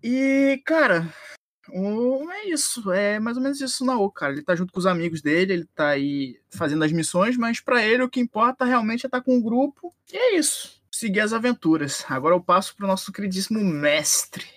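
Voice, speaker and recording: A man's voice: high-pitched, lively intonation, moderate pace, a bit bright, dry recording, clean studio sound. A man with a Brazilian accent chatting casually as a player on a role-playing podcast. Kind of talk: casual chat introducing a game character.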